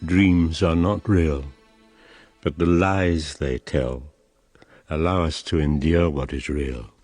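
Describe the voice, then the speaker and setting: An old royal father in a solemn radio drama, stern and worn out. The voice is deep and slow, declaiming gravely in a dry, close acoustic. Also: fairly even intonation, a little mellow, no room sound, faint music behind, full character voice.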